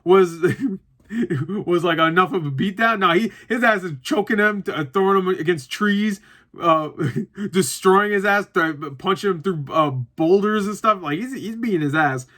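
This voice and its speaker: A man's voice: sits high, unhurried, fairly bright tone. A man giving a casual, unscripted review to viewers, rambling and correcting himself as he goes, with some swearing. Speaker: movie reviewer.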